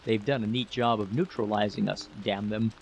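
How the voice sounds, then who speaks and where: A man reading the part of a gruff, grudging American military man in a story. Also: lowish voice, fairly even intonation, normal speed, a little hiss or hum, low-quality recording. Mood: grudging resolve.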